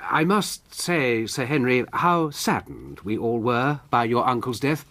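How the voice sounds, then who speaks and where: An actor playing a controlling, ingratiating naturalist in a radio drama, speaking emphatically and politely, with a firm edge. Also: male voice, mid-range voice, lively intonation, unhurried, bright, forceful tone. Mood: sombre. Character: excitable naturalist and former schoolmaster, controlling, ingratiating.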